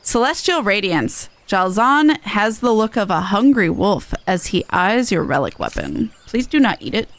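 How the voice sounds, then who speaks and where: A woman's voice: mid-range voice, very animated, unhurried, hardly any pauses. A woman game streamer chatting casually and reading aloud during a playthrough. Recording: faint game music behind the voice.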